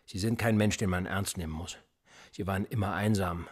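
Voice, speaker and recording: An actor playing a cool, probing police officer who interrogates a suspect in a radio play, with a calm, dry, needling manner. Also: male voice, low voice, brisk. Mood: controlled.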